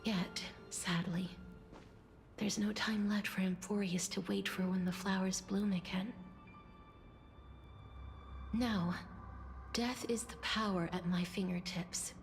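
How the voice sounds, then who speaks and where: A woman voicing a gentle young demigod of death in a video game, softly and breathily, with a measured, solemn tenderness. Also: medium pitch, steady tone. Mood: wistful, serene. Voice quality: soft, breathy, whispery. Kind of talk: dramatic video game voice acting. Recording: music under the voice.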